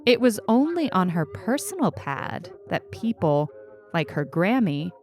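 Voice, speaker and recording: A woman's voice: mid-range voice, big pitch swings, a little slow, a little mellow, soft background music. A woman narrating a scripted short story in an audiobook style. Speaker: story reader on a fiction podcast.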